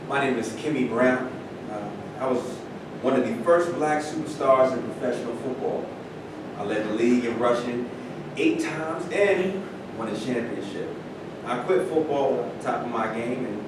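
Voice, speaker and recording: A man playing an old retired football star, speaking a little haltingly in a staged play reading. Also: close to an everyday voice. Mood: reflective. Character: old retired male football star turned actor, opinionated. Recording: echoey distant room recording, noisy rumble.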